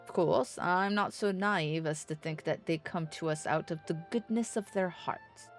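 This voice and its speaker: A woman voicing an earnest young nobleman diplomat from a fantasy game, with formal, measured, slightly pompous archaic speech. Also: on the low side, soft background music, natural voice. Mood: self-assured, scheming.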